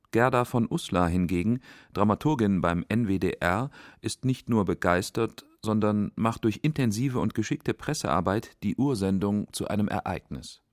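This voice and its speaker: A man's voice: fairly low pitch, no room sound, studio quality. A man reading scripted narration for a radio documentary. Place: dry studio.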